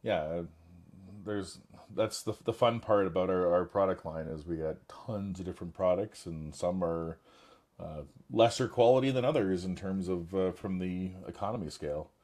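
A raspy, hoarse man getting over a bug, talking casually on a group business call and pausing often. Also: low-pitched, a little mellow. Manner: halting, many fillers and restarts. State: hoarse, recovering from illness.

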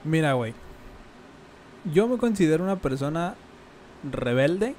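A young man with a Mexican accent, co-hosting a casual podcast, relaxed and slangy with lots of filler words. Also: fairly high pitch, measured pace, a little hiss or hum. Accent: Mexican Spanish. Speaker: podcast co-host.

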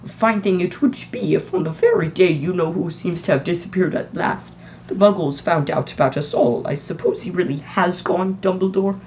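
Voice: medium pitch, big pitch swings, moderate pace, darkish tone, slight background noise, pitched above the performer's own voice, lightly acted. A reader voicing a strict older woman professor who speaks in a clipped, impatient way. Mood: irritable. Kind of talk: audiobook reading.

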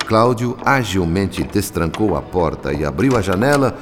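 An actor playing a solemn, devout narrator, telling the story theatrically in a radio drama. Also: male voice, low voice, big pitch swings, normal speed, a little hiss or hum. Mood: solemn, storytelling. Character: solemn, devout male spirit narrator, measured and moralizing. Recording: long cavernous reverb.

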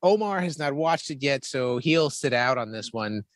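A man's voice: medium pitch, animated, normal speed, no echo, clean studio sound. Podcast host, man, emphatic and casual, steers the talk. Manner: emphatic, repeats himself, profane. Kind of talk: casual movie chat.

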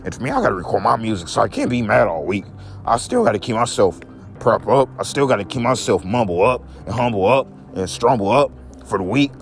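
A young man with a deep voice, talking in a rambling, repetitive vlog rant, whose voice jumps high when he gets upset. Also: sing-song, a little fast. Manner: repeats each phrase, voice leaps high when upset. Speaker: vlogger.

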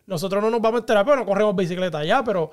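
A man with a Puerto Rican accent hosts a loose, jokey chat among friends. His voice sits high for a man, and it has a smooth, resonant tone. He keeps throwing questions to the others. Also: rapid-fire. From Puerto Rico. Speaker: podcast host. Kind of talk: casual chat.

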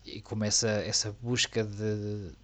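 A man chatting casually as a co-host, relaxed and joking, with frequent fillers. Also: lowish voice, a little slow. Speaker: co-host of a motorsport tech talk. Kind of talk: casual chat.

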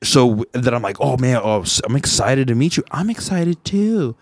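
A young man with a deep voice, a comedian hosting a podcast in loose, self-deprecating chat. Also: big pitch swings, normal speed, no echo, clean studio sound. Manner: rambling, self-deprecating, swears casually. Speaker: podcast host, stand-up comedian.

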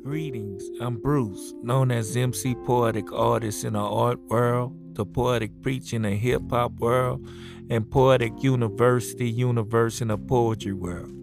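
A middle-aged man from New Orleans, a poet reading a measured self-introduction and a poem. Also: medium pitch, fairly even intonation, gravelly. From New Orleans, USA. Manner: measured, spells words out. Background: soft guitar music.

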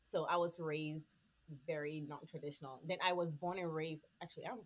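A woman's voice: sits low, fairly even intonation, moderate pace. A woman from Ghana, a podcast co-host, sharing holiday memories in casual chat with frequent laughs.

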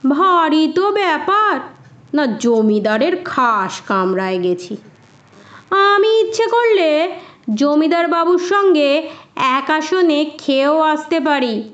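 A woman's voice: high voice, sing-song, slow pace, a bit bright, lo-fi sound, heavily acted. A woman voicing a sly, cheeky, confident poor peasant man in a storybook tale. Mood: boastful.

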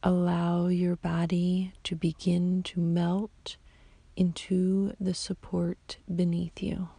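Woman doing sleep meditation, super slow n whispery, creaky low-ish voice, soothing, long pauses. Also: monotone, no echo. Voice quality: soft, breathy, whispery. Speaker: yoga nidra meditation guide.

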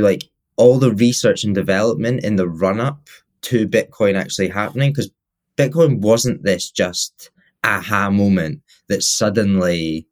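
Low-pitched man as podcast co-host, loose, rambling and self-correcting. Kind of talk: casual chat.

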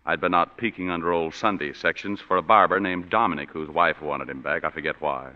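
A man's voice: low-pitched, steady tone, a little fast, close to an everyday voice. An actor playing a tired, wisecracking male private eye who narrates dryly in a 1940s radio drama, on a dull lo-fi broadcast recording. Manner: dry, sardonic first-person narration.

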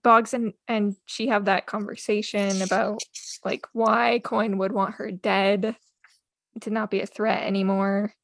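A woman's voice: mid-range voice, flat delivery, a little slow, gravelly, no echo. A woman co-hosting a podcast, talking casually as she discusses a book. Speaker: podcast co-host.

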